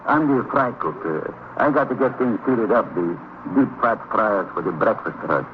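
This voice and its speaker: An actor playing a nervous, talkative fry cook questioned as a witness in an old-time radio drama, halting, repetitive and agitated. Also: male voice, fairly low pitch, animated, close to an everyday voice. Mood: agitated, eager. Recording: tinny, noisy old radio recording.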